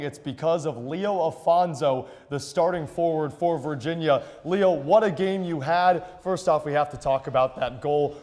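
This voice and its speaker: Young high-pitched male sports broadcaster. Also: a little mellow, no echo. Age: young adult. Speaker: sports broadcaster, play-by-play announcer and anchor. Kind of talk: sports commentary and presenting.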